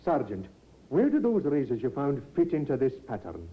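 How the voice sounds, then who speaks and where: Casting: male actor for probing police inspector, insistent, medium-pitched with very varied intonation, vintage radio crime play. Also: very animated, normal speed, slight background noise, lightly acted. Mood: impatient, pondering. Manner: clipped, insistent, questioning. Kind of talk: 1950s radio drama acting. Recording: dull vintage radio recording.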